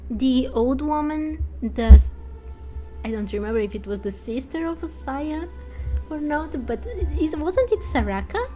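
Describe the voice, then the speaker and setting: A woman streams a game with casual commentary. Her voice is high and she speaks at a leisurely pace, with soft music playing behind her. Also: expressive, unhurried. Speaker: streamer voicing game characters. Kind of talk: casual let's play commentary.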